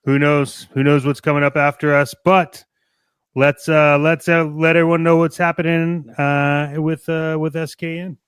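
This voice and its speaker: A man with a fairly high, very clear voice co-hosts a podcast. He talks casually and promotes the show in an easygoing, informal manner. The recording is dry and close. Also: level tone, normal speed, fairly bright tone, no echo. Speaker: podcast co-host.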